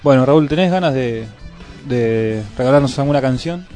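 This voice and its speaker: A man with an Argentine Spanish accent hosting a casual radio interview, asking questions in a relaxed, halting way with repeated words. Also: mid-range voice, soft background music. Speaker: radio host. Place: radio studio.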